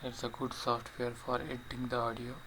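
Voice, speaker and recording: A man narrating a step-by-step software tutorial in short, halting, plainly instructional phrases. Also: medium pitch, completely flat delivery. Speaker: tutorial presenter. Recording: clearly noisy voice-over recording.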